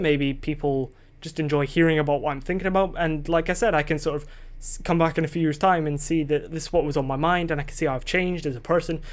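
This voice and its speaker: A young man recording a solo podcast into a desk microphone, rambling and questioning himself, losing his train of thought and swearing casually. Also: fairly high pitch.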